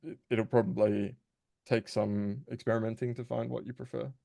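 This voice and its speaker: A man's voice: mid-range voice, steady tone, normal speed, gravelly, mellow, no echo, studio quality. A man in casual conversation, talking in a thoughtful, tentative way. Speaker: voice actor, guest.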